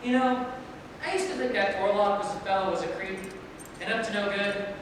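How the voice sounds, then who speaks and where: Woman acting dopey boastful fairy tale guard, rambly and excitable, noisy echoey hall. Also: medium pitch, fairly quick, distant mic, natural voice. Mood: smug. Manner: rambling, excitable, forgetful of names. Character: dim, lazy, boastful palace guard, gossipy. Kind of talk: staged reading of a fairy tale play.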